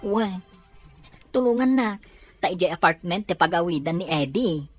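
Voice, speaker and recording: A woman voicing a patient male friend who calmly explains and reassures. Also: fairly low pitch, normal speed, faint music behind.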